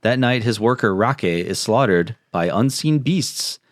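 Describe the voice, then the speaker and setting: A man's voice: medium pitch, lively intonation, measured pace, no room sound, studio quality. A man hosting a podcast, moving between casual chat and reading out his notes. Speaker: podcast host.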